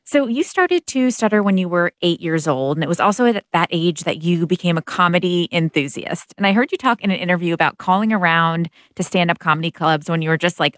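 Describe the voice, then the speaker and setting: A woman hosting a radio interview show, reading measured intros and asking questions. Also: on the low side, a bit bright, no echo, studio quality.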